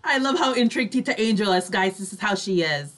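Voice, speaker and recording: A woman's voice: mid-range voice, lively intonation, fast, fairly bright tone. A woman co-hosting a casual chat show, agreeing readily and speaking expressively. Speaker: online radio show co-host.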